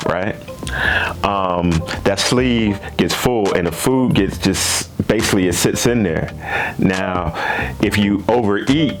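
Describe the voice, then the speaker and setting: Deep-voiced man in his fifties. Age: 50s. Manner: loose, repeats himself with tag questions. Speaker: gaming channel host. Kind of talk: casual chat to camera.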